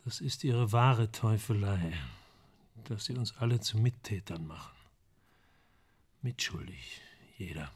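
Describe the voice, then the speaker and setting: A man in a German radio play acting an anguished, guilt-ridden screenwriter, halting and agitated as he pleads. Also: on the low side, breathy edge. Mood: bleak.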